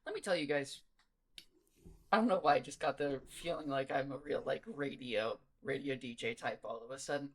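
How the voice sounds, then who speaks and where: A man co-hosting a podcast, telling stories in a rambling, animated, casual way and swearing freely. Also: mid-range voice, moderate pace. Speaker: podcast co-host. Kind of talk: casual chat.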